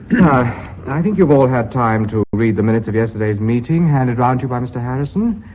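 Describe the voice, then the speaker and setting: A brisk, authoritative English vice chairman in a radio play. His voice is husky and swings widely in pitch, formal yet harried. The recording is dull and lo-fi. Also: lively intonation. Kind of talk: British radio drama acting.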